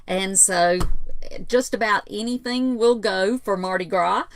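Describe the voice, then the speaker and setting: A woman co-hosting a casual livestream craft demo, walking viewers through steps in a relaxed, agreeable way. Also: mid-range voice, a little slow, a little hiss or hum. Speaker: co-host, home decor designer, mother.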